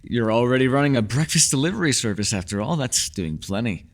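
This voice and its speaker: A man's voice: medium pitch, lively intonation, brisk, lightly acted. A man playing a mysterious, ageless male elf in a fantasy ASMR roleplay, teasing yet secretive, with courteous, formal, old-fashioned phrasing. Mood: polite, evasive.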